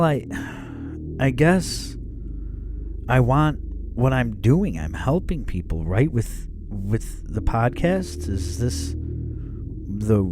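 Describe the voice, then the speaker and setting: A man voicing a hesitant, eager male podcaster in a horror story, stammering with awe and uncertainty. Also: medium pitch. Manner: halting, stammering, awed, uncertain. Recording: music behind the voice.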